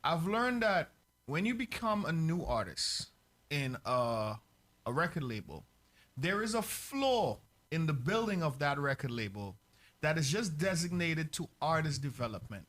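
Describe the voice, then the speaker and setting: A man with a somewhat high voice asks long, earnest questions, stressing points as he builds them. He has a broadcaster's interview manner. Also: sing-song, a little slow, a bit bright. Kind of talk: radio interview.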